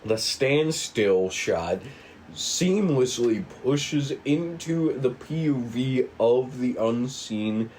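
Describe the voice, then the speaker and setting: A man reading screen directions aloud at a table read, with measured, dramatic storytelling and casual asides. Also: medium pitch, lively intonation, darkish tone, slight background noise, low-quality recording. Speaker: writer and narrator of a table read. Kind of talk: scripted narration of screen directions.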